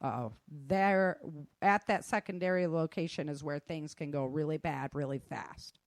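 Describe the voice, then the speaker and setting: A woman's voice: low voice, moderate pace, no echo, clean studio sound. A woman giving casual, conversational advice in an emphatic, plain-spoken manner. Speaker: guest sharing self-defense advice.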